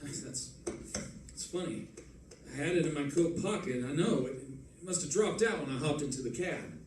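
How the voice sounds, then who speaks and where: A man's voice: on the high side, moderate pace, a little mellow, lightly acted. A man playing a wisecracking, evasive detective and husband in an old-time radio drama, flippant and guarded. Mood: defensive, evasive. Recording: echoey, distant, noisy stage recording.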